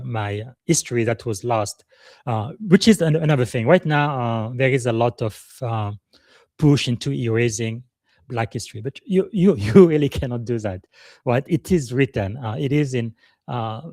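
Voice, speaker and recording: A man hosts a live podcast, talking earnestly and emphatically with frequent pauses to search for words. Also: medium pitch, darkish tone, no room sound. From Republic of the Congo. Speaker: podcast host and leadership coach.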